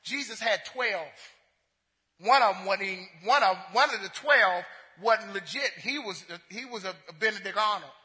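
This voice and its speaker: Very high, bright-voiced male preacher in a church hall. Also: moderate pace. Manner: impassioned, with stammered restarts and repeated phrases; swings between loud and hushed delivery. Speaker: pastor. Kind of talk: sermon. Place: church sanctuary.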